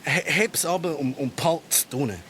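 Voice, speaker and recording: An actor playing a streetwise, sentimental city cockroach in a children's radio play, talking in halting hip-hop slang. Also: male voice, a little high, lively intonation, fairly bright tone, a little hiss or hum. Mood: cool, choked up. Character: streetwise, super-cool city cockroach buddy, secretly sentimental.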